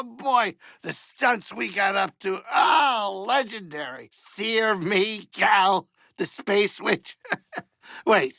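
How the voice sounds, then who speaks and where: A blustery older alien barkeep from a sci-fi video game. The voice sits high for a male and swings widely, with a gravelly creak underneath. He grumbles and wisecracks in a folksy way, soft-hearted beneath the bluster. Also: no room sound, studio quality, full character voice. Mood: blustery, excited. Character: grumbling, warm-hearted older alien pilot and saloon keeper. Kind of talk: expressive video game character acting.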